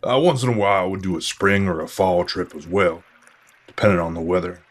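A man's voice: sits low, a little fast. An actor playing a genial man in his seventies in an audio drama, chatting in a folksy, rambling way. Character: portly, genial grandfatherly traveler in his seventies. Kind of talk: audio drama dialogue as a casual interview.

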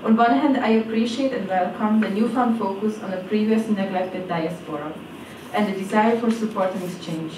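Young woman, prepared speech, podium mic, roomy hall, somewhat distant, noisy background. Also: normal speed. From Transylvania, Romania. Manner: reads from cards, phrases fall in pitch at their ends. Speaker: speaker at a presentation event. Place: large auditorium stage. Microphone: stand mic at a podium. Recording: echoey hall, voice from off-mic, audible noise.

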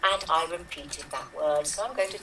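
A woman playing in an online improv game over a webcam, speaking in a slow, measured way. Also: low voice, pushed and bright. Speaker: improv player. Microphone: webcam on a video call. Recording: roomy webcam audio from a distance.

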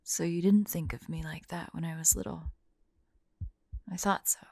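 A woman voicing a tormented young monster girl fighting bloodthirst, tender and frightened, halting and often dropping into whispers in an intimate audio roleplay. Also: on the low side, fairly even intonation, creaky, mellow, no echo. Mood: tender, disbelieving.

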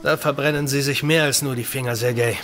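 A man's voice: mid-range voice, fairly even intonation, brisk, fairly bright tone, natural voice. A man playing an authoritative geophysicist in a radio thriller, speaking in a matter-of-fact way. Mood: courteous, matter-of-fact. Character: authoritative male geophysicist, senior team member. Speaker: actor in an audio drama. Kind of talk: radio play dialogue.

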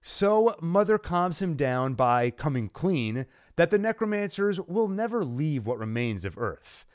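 A man hosting a video, delivering scripted recap narration and commentary in a measured, emphatic way. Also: a little high, very animated, dry recording, studio quality. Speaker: channel host, narrator.

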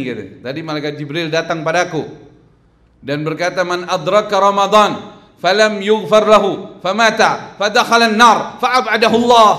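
A man preaching a sermon in an emphatic, colloquial way, moving between conversational asides and loud declamation. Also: high-pitched. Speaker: Islamic preacher. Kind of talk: sermon with Arabic quotations. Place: indoor mosque lecture room. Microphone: gooseneck microphone on the desk.

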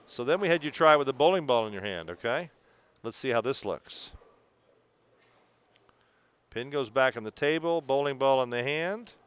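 A male sports coach talks a student through video footage in a smooth, clear voice at a medium pitch. He explains step by step and encourages as he goes, often checking for understanding. The sound is a little dull and lo-fi. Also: expressive, normal speed, pauses often, a bit bright. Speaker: bowling coach. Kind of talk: instructional video analysis, coaching a student one to one.

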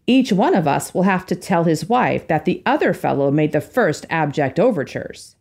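A woman's voice: fairly low pitch, very animated, normal speed, a little mellow, husky, full character voice. A genial middle-aged businessman and father, read by a woman, hearty and a touch formal. He speaks in a pleased, confident way.

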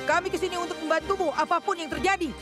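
Helpful brave cartoon youngster, very high voice, earnest, eager, loud music behind. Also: female voice, fairly even intonation, very fast. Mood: determined. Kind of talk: dubbed children's cartoon acting.

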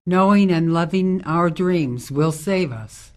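A woman playing a serious, searching activist in a radio drama, speaking earnestly and deliberately. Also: lowish voice.

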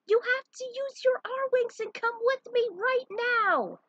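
A woman's voice: very high voice, takes it slowly, pushed and bright, muffled recording, voice pushed up, heavily acted. A woman voicing a bold female space bounty hunter in a story, calling out urgent orders. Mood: urgent shouting.

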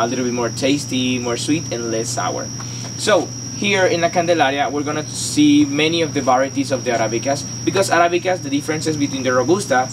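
A man's voice: mid-range voice, distant mic. A young man whose first language is Spanish, patiently explaining on a coffee farm tour in English. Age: young adult. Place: outdoors at a farm workshop. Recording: noisy outdoor recording.

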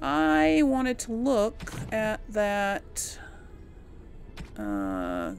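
Woman with creaky, lively game commentary. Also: medium pitch, extremely slow. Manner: thinks aloud in trailing fragments. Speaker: gameplay host. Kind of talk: casual gameplay commentary. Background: game music.

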